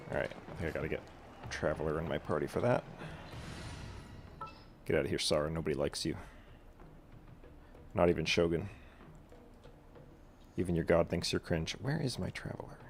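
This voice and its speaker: A man's voice: low voice, expressive, a little slow, vocal fry, pauses often, faint music behind. A man giving casual video game commentary, slangy, with jokey asides to the characters. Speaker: video game streamer playing through a quest.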